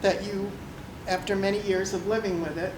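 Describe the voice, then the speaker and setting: A woman's voice: medium pitch, steady tone, normal speed. Candid, folksy woman in an echoey hall. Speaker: audience member. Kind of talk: personal story from the audience. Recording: roomy hall with clear noise.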